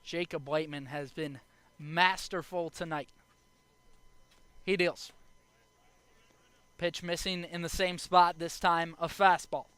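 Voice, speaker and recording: A young American man with a high, bright voice calls baseball play-by-play. He takes his time between phrases, describing the game as a broadcast announcer. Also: steady tone, takes it slowly, pauses often, clean tone, no echo. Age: young adult. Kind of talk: live baseball radio and TV play-by-play commentary.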